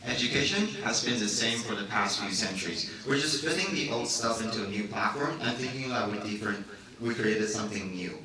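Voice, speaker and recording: Lower-voiced man opening a pitch. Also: lively intonation, a little fast. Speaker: hackathon team presenter. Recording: echoey hall from far off with crowd.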